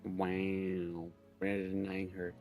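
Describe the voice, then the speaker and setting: A man's voice: low-pitched, monotone, extremely slow, darkish tone. A man co-hosting a casual visual novel stream, joking, needling and swearing freely, with music behind him. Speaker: stream co-host reading the game's lines aloud. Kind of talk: casual banter and reading aloud from a visual novel.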